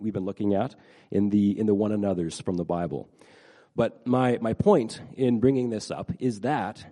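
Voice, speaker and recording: A middle-aged pastor preaching a casual sermon, a little nasal from a head cold, halting and repeating words with many fillers. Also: male voice, on the low side, mellow.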